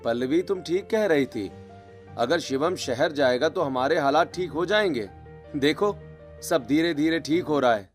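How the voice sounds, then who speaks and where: Earnest, higher-pitched farmer husband acted by a male storyteller. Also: lively intonation, unhurried, faint music behind. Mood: content. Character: concerned young village farmer husband. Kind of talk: scripted story dialogue.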